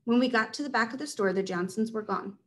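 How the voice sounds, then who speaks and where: A woman's voice: on the high side, quick pace, a bit bright. A woman reading a children's story aloud as its narrator. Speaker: read-aloud narrator at a school.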